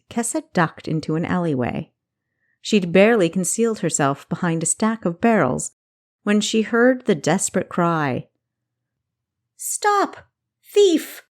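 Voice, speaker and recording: A woman reading scripted fantasy fiction as a storyteller, with expressive, dramatic phrasing. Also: on the low side. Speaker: storyteller, audiobook narrator.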